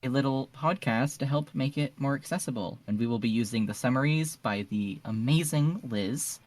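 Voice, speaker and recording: A man's voice: medium pitch, moderate pace, a little mellow, dry recording. A man chatting casually as a guest on a podcast.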